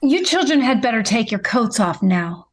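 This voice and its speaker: A woman's voice: a little high, very animated, moderate pace. A woman playing a practical, fretful mother in an old-time radio family comedy. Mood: motherly.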